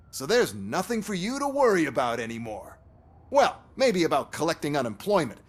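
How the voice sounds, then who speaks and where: An actor playing a smug, callous corporate executive in a video game, sneering and boastful as he mocks others. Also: on the high side.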